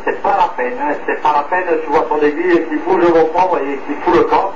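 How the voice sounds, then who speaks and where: A man's voice: on the high side, steady tone, fast talker. A man heard over CB radio, explaining technical matters to another operator in a colloquial, repetitive way. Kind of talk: radio contact chat. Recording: noisy, echoey, distant, muffled CB radio transmission.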